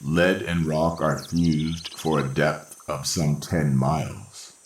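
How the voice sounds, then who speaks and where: A man's voice: very deep voice, steady tone, slow, full character voice. A man playing a cold, formal, indifferent robot in an old-time radio sci-fi drama. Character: cold, unfeeling robot in command of the underground war. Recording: echoey with faint noise.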